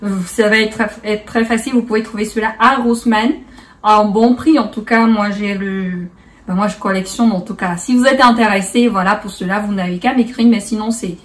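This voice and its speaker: A woman's voice: mid-range voice, fairly even intonation, moderate pace, far from the mic. A woman hosting a casual beauty tutorial in a playful, chatty way, slipping bits of English into her French. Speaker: beauty vlogger, host.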